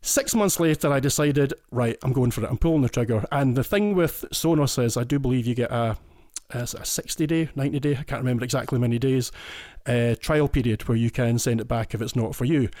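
A man from Scotland with a Scottish accent, chatting as a podcast guest. He goes into eager detail and keeps restarting his phrases. His voice sits in a middle pitch and sounds dry and close, with no room around it. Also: a little fast, a little mellow, no echo. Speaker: listener guest. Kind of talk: casual podcast chat.

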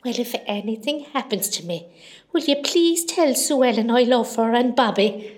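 An actress playing a chatty, nervous older hospital patient with an Irish accent in a comedy radio play, wry and gossipy. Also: female voice, on the high side, moderate pace, close to an everyday voice. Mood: anxious.

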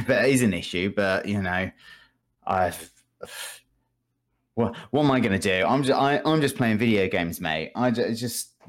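A British man hosting a casual gaming podcast, rambling and thinking aloud with a wry manner. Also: fairly low pitch.

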